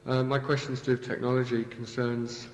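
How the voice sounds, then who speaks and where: A man, asking a panel a question from the audience in a hesitant, even way. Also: medium pitch, brisk. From England. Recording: roomy echo, hall reverb, faint hum.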